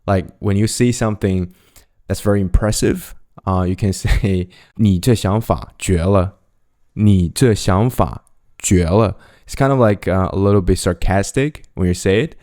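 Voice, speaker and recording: A young man whose first language is Mandarin Chinese talks through a language lesson in a casual, unhurried way. His voice is low and soft-edged. He says each example phrase twice and laughs lightly here and there. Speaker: language teacher.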